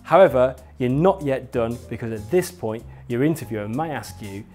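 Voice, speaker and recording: A man's voice: mid-range voice, expressive, moderate pace, darkish tone, faint music behind. A man presenting a scripted lesson as an instructor, talking directly to the listener in an emphatic, encouraging, explanatory way. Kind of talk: scripted instructional narration addressed to viewer.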